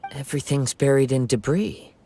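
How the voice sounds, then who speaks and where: A man playing a calm, thoughtful young wandering samurai in a video game, speaking in a measured, composed, formal way. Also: medium pitch, slight background noise, lightly acted. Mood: calm, grave. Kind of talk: English video game voice acting.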